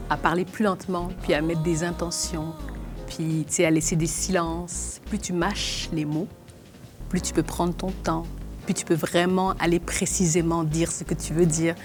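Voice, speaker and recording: A young adult woman from Belgium, talking fast with many restarts in a documentary interview. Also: lowish voice, fairly even intonation, music in the background. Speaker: actress and playwright.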